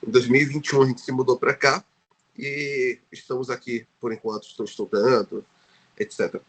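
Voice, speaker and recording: A man's voice: medium pitch, steady tone, brisk, fairly bright tone, slightly rough. A Brazilian man speaking Portuguese with a Brazilian accent as a podcast guest, talking with frequent fillers and restarts. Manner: hesitant, many restarts and fillers. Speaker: guest researcher. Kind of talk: podcast interview.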